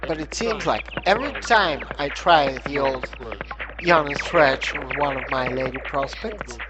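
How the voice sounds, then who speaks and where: An actor playing an awkward, earnest regular guy unlucky in love, reading a halting, self-pitying letter in a comic audio drama. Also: fairly high pitch, close to an everyday voice. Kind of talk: scripted comic letter monologue. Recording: muffled lo-fi sound under loud synth music.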